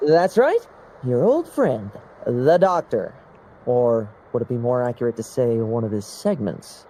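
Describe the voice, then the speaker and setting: Dry, teasing knight woman, low and very lively. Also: unhurried, a little hiss or hum, full character voice, low-quality recording. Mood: sardonic. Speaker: game character.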